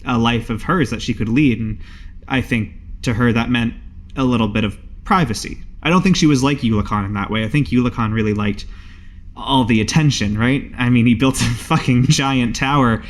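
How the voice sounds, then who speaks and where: Casting: man to play lonely young male siren wizard for tabletop roleplay, shy, hesitant, casual delivery, mid-range pitch. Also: normal speed, natural voice. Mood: reflective.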